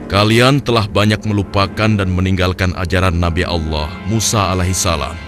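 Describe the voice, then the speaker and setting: Guy doing a stern wise prophet for a cartoon, deep-ish voice, measured, music behind. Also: steady tone, close to an everyday voice. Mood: stern reproach. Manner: solemn, measured, admonishing. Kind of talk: Indonesian cartoon religious story dialogue.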